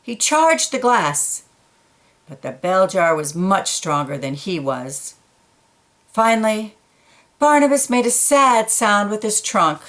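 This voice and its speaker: A woman reading a picture book aloud to children in a gentle, engaged way. She pauses to point out little details in the illustrations before going back to the story. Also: mid-range voice, very animated.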